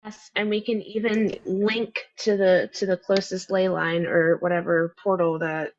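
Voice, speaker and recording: A woman's voice: on the low side, flat delivery, a little slow, dull audio, a little hiss or hum. A woman chatting on a group call, eager and agreeable as she joins in.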